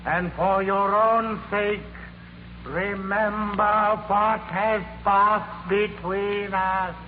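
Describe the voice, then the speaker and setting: A doom-laden ghost from a vintage radio play, mournful and declamatory. The high voice drags slowly through long reverb on a tinny old recording. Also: male voice, fairly even intonation, a little hiss or hum. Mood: solemn warning. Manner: wailing, declamatory. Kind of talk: 1940s radio drama acting.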